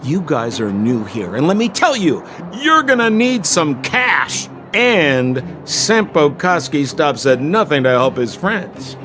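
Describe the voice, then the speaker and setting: A man's voice: a little high, sing-song, slow pace, hardly any pauses, fairly bright tone, faint music behind, full character voice. An actor playing a slippery, fawning young male hustler in a video game, with theatrical salesman patter. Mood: wheedling, theatrical. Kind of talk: video game cutscene voice acting.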